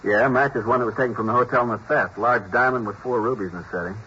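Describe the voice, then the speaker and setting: An actor playing a steady, businesslike police detective partner in a 1950s radio drama. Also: male voice, mid-range voice, close to an everyday voice. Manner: brisk, businesslike reporting. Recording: muffled old radio broadcast with hiss.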